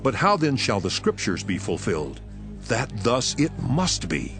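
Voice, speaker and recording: An actor playing a solemn, sorrowful holy teacher with authority, speaking in measured declamation in a scripture audio drama. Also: male voice, medium pitch, huge pitch swings. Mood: firm authority. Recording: background music under the voice.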